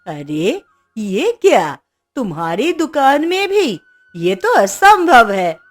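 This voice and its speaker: Woman as a very expressive, slow-talking cartoon auntie. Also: fairly high pitch, faint music behind, exaggerated voice. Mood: surprised. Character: middle-aged auntie, a trusting female market customer. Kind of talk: Hindi moral-story cartoon acting.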